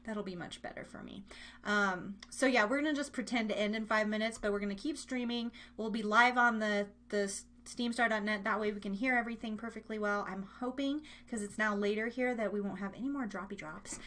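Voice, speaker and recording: A woman's voice: mid-range voice, fairly quick, fairly bright tone. A woman hosting a live internet radio show, chatting casually in a playful, rambling way and repeating her phrases as she runs games.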